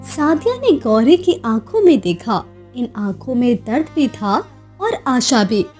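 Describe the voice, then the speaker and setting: A woman narrating a scripted animated moral story. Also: a little high, unhurried, faint music behind.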